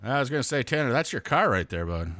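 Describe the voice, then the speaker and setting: A man's voice: fast talker, dry recording. A man with a Vermont accent doing lap-by-lap commentary on a simulated stock car race, his voice jumping up sharply when a wreck unfolds. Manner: excited pitch jumps when calling wrecks. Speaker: play-by-play commentator. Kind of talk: sim racing commentary.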